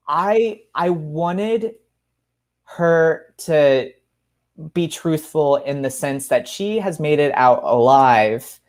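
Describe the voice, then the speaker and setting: A man talks as a guest author in a relaxed conversational interview. His voice is mid-pitched for the setting. He is candid and thoughtful, pausing to find his words. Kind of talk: conversational interview about writing.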